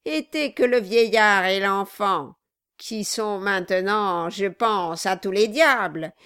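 A woman voicing a malicious male dwarf in an audiobook, loud, commanding and mocking. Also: mid-range voice, clean tone. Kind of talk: dramatized audiobook reading.

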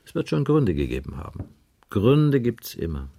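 Police inspector, radio crime drama, husky, animated intonation, blunt, sardonic, world-weary, deliberate. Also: male voice, mid-range voice, full character voice. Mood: grim. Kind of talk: 1980s German radio crime drama acting.

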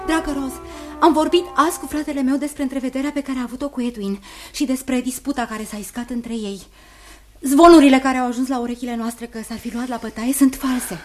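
Actress as composed young confidante, radio play: high-pitched, earnest, articulate, faint music underneath. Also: female voice, fairly even intonation, lightly acted. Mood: earnest, reassuring. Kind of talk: radio drama acting.

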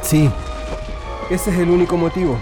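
An actor playing an uneasy, apologetic Chilean company boss in a radio drama, following orders and haltingly repeating his words. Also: high voice, fairly even intonation, over music, close to an everyday voice.

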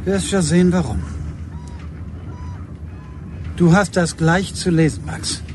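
An actor playing a dutiful, stubborn official in a radio drama, speaking stiffly in clipped, insistent phrases. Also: male voice, sits high, normal speed, slight background noise, lightly acted. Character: dutiful, stubborn male official delivering orders.

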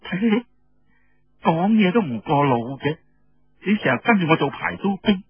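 A man's voice: sits high, lively intonation, normal speed, breathy edge, lo-fi sound, soft background music, pitched above the performer's own voice, heavily acted. A man voicing a shrewd, firm young woman general who teases and scolds, in an audiobook story. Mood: teasing, scolding.

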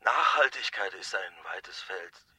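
A man's voice: low voice, animated, slow, sharp tone, husky, no room sound, heavily acted. An actor playing an evasive, floundering male election candidate on a phone-in, hesitant, in a radio drama. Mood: uncertain, evasive.

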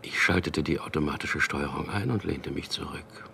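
An actor in a German radio drama playing a smooth-talking propagandist who tells and acts out his own story in an earnest way. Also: extremely low pitch, normal speed, bright, forceful tone, a little hiss or hum, heavily acted. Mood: reflective.

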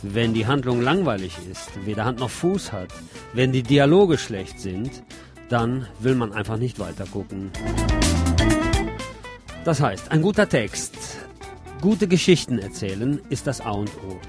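A man's voice: medium pitch, animated, measured pace, music in the background. A man presenting a radio magazine as host, reading scripted narration with personal asides.

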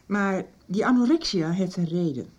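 Worried middle-aged mother, radio drama: low, soft-edged and mellow, pressing her point. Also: animated, moderate pace, highs cut off. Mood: grave, insistent. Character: worried, insistent mother of a missing girl. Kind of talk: Dutch radio soap acting.

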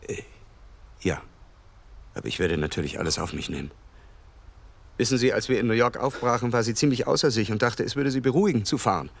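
Shaken rich gentleman in a radio play, polite n hesitant, understated, medium pitched voice. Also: animated, brisk, gravelly, pauses often. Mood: shaken, confiding. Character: shaken, devoted rich gentleman keeping secret night watch. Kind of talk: naturalistic radio play acting.

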